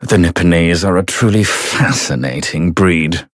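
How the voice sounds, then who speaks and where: Casting: low-voiced, haughty prosecutor, aristocratic and scornful, video game drama, dry close recording. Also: male voice, dry recording. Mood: cold disdain. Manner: aristocratic, condescending, measured. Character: haughty, disdainful British courtroom prosecutor. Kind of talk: theatrical video game voice acting.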